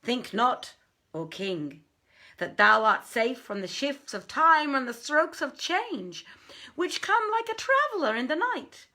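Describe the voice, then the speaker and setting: Woman reading as an emphatic wise royal counsellor, bright-voiced. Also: high voice, big pitch swings, takes it slowly, pitched above the performer's own voice, exaggerated voice. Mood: earnest, urgent. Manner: formal, emphatic, declamatory. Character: wise male wazir gravely counselling his king. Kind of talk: storybook reading of an old tale.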